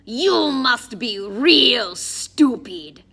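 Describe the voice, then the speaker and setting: A woman voicing a huge, slow-witted gangster with a blunt, heavy, menacing delivery. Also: a little high, lively intonation, gravelly, pushed and bright, voice pushed up, exaggerated voice. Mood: threatening.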